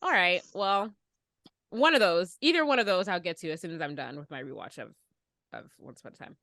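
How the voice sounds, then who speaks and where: A woman's voice: lowish voice, expressive, fairly quick, vocal fry, pushed and bright, dry recording, studio quality. A woman chatting casually as a podcast co-host, with an informal, giggly manner. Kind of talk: casual chat.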